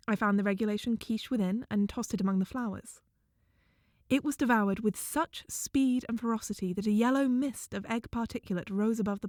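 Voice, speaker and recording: A woman's voice: medium pitch, darkish tone, no echo, lightly acted. A woman acting an earnest field naturalist, dry close recording. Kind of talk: scripted audio-drama narration.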